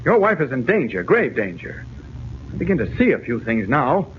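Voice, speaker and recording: An actor playing an earnest, insistent reporter investigating a mystery, urgent and persuasive, in a 1940s radio serial. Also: male voice, mid-range voice, big pitch swings, normal speed, lightly acted. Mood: urgent, deducing. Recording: scratchy, narrow-band 1940s radio recording.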